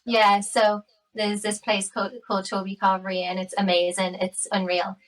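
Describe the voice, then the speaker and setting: A woman in her late thirties with a Geordie accent, chatting casually and readily. Her pitch stays fairly even, and she sounds a little distant from the microphone. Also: normal speed. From Newcastle area, England. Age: late 30s. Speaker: podcast guest. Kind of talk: casual chat.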